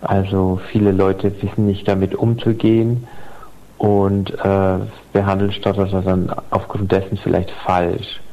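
A man giving his opinion as a listener contributor, thoughtful and halting with many fillers. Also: low voice, flat delivery. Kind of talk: recorded listener statement. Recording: dull lo-fi home recording.

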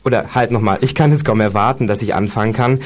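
A man's voice: lowish voice, fast talker. A man co-hosting a radio show, talking casually with many fillers and reading quotes aloud. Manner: hesitant, with fillers.

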